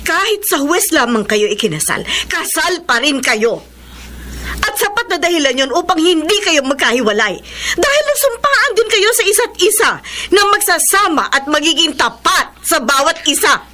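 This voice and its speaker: A woman's voice: very high voice, big pitch swings, moderate pace, pushed and bright, a little hiss or hum, exaggerated voice. An actress playing a devout, stern, old-fashioned mother in a Filipino radio drama, with a Filipino accent. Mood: stern reproach.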